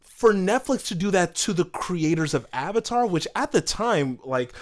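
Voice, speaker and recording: A man co-hosting a podcast, discussing things casually in an animated way and acting out imagined quotes. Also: high-pitched, moderate pace. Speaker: podcast co-host.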